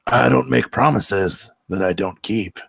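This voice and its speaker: A man voicing a hot-tempered, childish Bigfoot who blusters, shouts threats and whines in a comedy table read. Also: fairly low pitch, measured pace, creaky, dry recording, studio quality, heavily acted. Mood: menacing. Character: hot-tempered, childish, oafish male Bigfoot, easily wounded.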